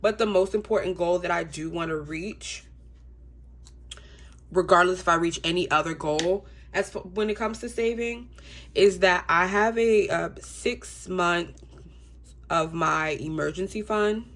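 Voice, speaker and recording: A woman's voice: on the low side, a little slow. A young adult woman with a congested voice, talking casually to her viewers in a budgeting vlog with frequent ums. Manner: chatty, relaxed, frequent ums. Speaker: budgeting YouTuber.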